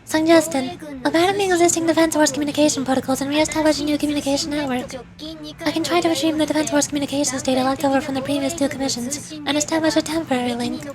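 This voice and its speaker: A woman's voice: high-pitched, fairly even intonation, very fast, lightly acted. A woman voicing a confident, helpful AI assistant in a video game, making precise, formal announcements. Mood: calm, procedural.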